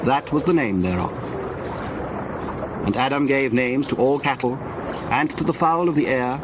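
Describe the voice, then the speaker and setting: A male narrator delivers scripture as solemn, measured storytelling. His voice sits at a medium pitch, with clearly audible ambient noise behind it.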